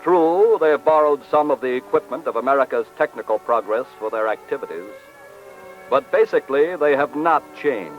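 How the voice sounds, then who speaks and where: A man's voice: mid-range voice, animated, moderate pace. A man narrating a 1940s radio drama in a grave, formal, dramatic manner. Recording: muffled 1940s radio recording with music.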